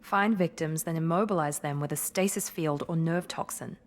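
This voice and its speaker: A woman's voice: sits low, moderate pace, lightly acted. A woman playing a cool, analytical covert operative in a video game, detached and matter-of-fact.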